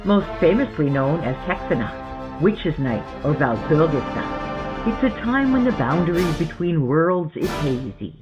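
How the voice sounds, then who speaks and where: A podcast host giving scripted horror narration in a theatrical, measured storytelling manner. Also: mid-range voice, sing-song. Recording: muffled lo-fi sound under music. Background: background music.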